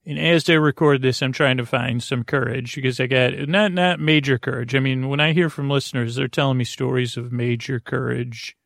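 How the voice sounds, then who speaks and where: Gravelly man rambling in a dry room. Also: medium pitch, steady tone, fairly bright tone, no echo. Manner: halting, meandering, self-interrupting. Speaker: podcast host. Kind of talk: rambling sleep podcast monologue.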